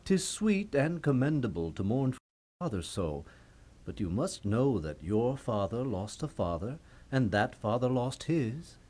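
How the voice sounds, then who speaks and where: A man's voice: mid-range voice, big pitch swings, measured pace, warm tone. A man playing a commanding middle-aged usurper king, grandiose and lecturing, in a theatrical Shakespearean puppet play. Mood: falsely kind. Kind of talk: theatrical Shakespearean stage acting for puppet show.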